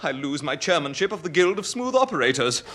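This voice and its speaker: Casting: cowardly, blustering tycoon, high, bright and wildly lively, radio farce. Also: male voice, fairly quick, slight background noise. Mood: panicked. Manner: frantic, blustering. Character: pompous, cowardly, panicking English business executive. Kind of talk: British radio sitcom acting.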